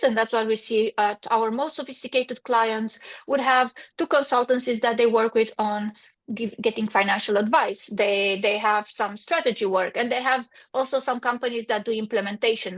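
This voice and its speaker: Romanian-accented woman, brisk founder. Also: fairly high pitch. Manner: pragmatic, with frequent restarts. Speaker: guest, company founder. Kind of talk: interview answers.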